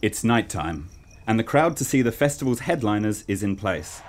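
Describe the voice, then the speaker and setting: A man with a fairly low voice narrates a comedy radio play. He tells it with mock gravity, over clearly audible ambient noise. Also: lively intonation, normal speed. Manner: mock-serious storytelling.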